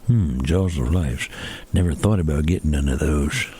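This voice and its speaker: A man's voice: rumbling low, normal speed, warm tone, slightly rough, voice pushed down, full character voice. A man playing a folksy, drawling, grumbling cowboy in an old-west hardware store comedy radio play. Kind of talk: comedy radio theater acting.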